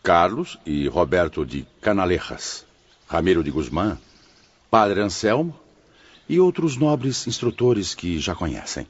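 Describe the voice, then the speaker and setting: A man acting a dignified spirit superior in an audiobook drama, speaking in a formal, measured, authoritative way. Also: low-pitched, sing-song, husky, dry recording, highs cut off, exaggerated voice. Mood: composed authority.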